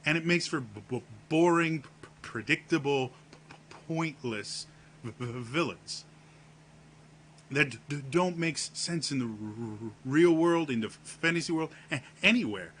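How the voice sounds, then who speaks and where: A man talking casually to camera on his vlog, with a deep voice and a heavy stutter that repeats the first sounds of words. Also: expressive, unhurried, fairly bright tone, slight background noise. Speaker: vlogger.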